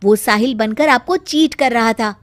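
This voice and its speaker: A woman voicing an earnest, sharp-minded young daughter-in-law in an animated story. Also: fairly high pitch, moderate pace, lightly acted. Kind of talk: animated Hindi moral story dialogue.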